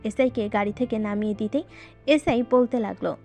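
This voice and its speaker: A woman with a fairly high voice narrates a scripted romantic story. She speaks as the storyteller, with faint music playing underneath. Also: normal speed, mellow.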